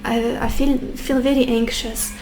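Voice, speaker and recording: A woman, a little sick and losing her voice, giving a gentle tarot reading to her viewers and musing aloud with soft hesitations. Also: on the high side, little pitch movement, a little slow, a little hiss or hum. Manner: gentle, addresses viewers warmly as beautiful souls. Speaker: tarot reader.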